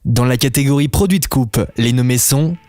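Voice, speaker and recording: A man's voice: mid-range voice, brisk, a little mellow, no echo. Male voice-over reading nominees evenly, with music behind. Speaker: announcer.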